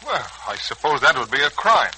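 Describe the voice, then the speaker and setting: A bullying, sarcastic young husband in an old radio serial. His bright, forceful voice sits a little high and swings widely in pitch. The recording has a faint vintage hiss. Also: big pitch swings, brisk, low-quality recording. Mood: sullen, defiant. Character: hot-headed, domineering young husband demanding his way. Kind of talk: 1940s radio serial acting.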